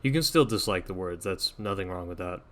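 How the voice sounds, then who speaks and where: A man's voice: low-pitched, animated, brisk, fairly bright tone. A man presenting a fan dub, reading a scripted introduction.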